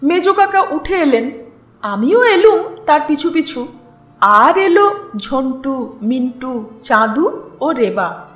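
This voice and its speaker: A woman narrates a literary story. Her voice is high and very animated, and she takes her time with each phrase. She is recorded in an echoey space with music underneath. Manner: unhurried, dramatized reading. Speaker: story reader and channel host. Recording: roomy echo with music underneath.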